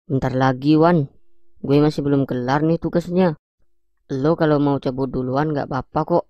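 A man voicing a skeptical but easily frightened young college student in a cartoon, colloquial and expressive, with a Jakarta accent. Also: fairly high pitch, normal speed, no echo, dull audio, lightly acted. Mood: dismissive. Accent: Jakarta Indonesian. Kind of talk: animated cartoon dialogue.

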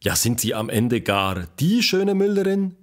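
A man's voice: mid-range voice, sing-song, measured pace. A man playing an eager, curious investigator who interviews people in a scripted audio drama. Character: eager, curious male investigator questioning historical villagers.

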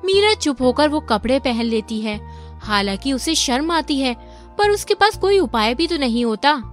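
A woman's voice: high-pitched, big pitch swings, normal speed. A woman reading scripted story narration as a storyteller. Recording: faint music behind. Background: music.

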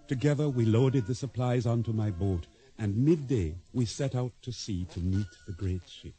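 An actor playing an anxious island boatman who narrates his radio drama tale in formal, deliberate, reflective phrasing. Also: mid-range voice, warm tone, lightly acted. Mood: reflective, uneasy. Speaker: narrator and lead character. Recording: radio drama with prominent background music. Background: music.

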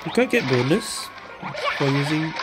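A woman's voice: sits low, sing-song, normal speed. A woman giving casual gameplay commentary, musing aloud and asking herself questions as she plays. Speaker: gaming streamer. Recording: game music and effects behind.

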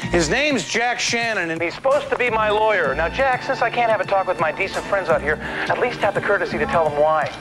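A man's voice: high voice, animated, fairly quick, few pauses, over music, low-quality recording. An actor playing a bullying, showy TV talk-show host in his forties, with an American accent. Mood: goading. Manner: brash, showy. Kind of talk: television drama acting as live talk-show host.

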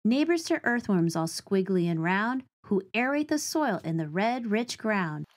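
A woman reading a picture book of rhymes and nature facts aloud to children, with expressive, clearly phrased delivery. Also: medium pitch, unhurried, slight background noise.

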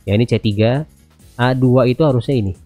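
Mellow Indonesian man casually narrating gameplay. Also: mid-range voice, fast talker, no echo, soft background music. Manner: chatty, informal, slipping into English radio-style phrases. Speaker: gaming video host. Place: indoors at a computer, music behind.

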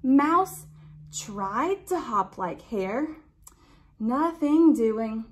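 Young woman reading slowly to children, higher-pitched. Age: young adult. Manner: expressive, drawn-out emphasis. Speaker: library storytime reader. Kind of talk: children's picture book read-aloud with questions to listeners.

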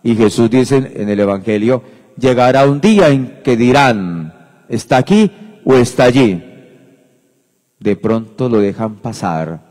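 A priest preaching a homily at Mass, speaking unhurriedly and emphatically into a handheld microphone, repeating phrases. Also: male voice, medium pitch, measured pace, darkish tone. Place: church sanctuary at the lectern. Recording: reverberant church hall.

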